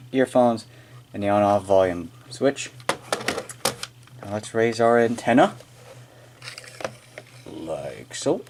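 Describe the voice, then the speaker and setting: American male, medium pitch, off-the-cuff amateur unboxing delivery, slightly noisy camera audio. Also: darkish tone. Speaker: amateur unboxing video maker. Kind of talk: casual product unboxing, hands-on demonstration. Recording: noisy amateur room recording with clicking.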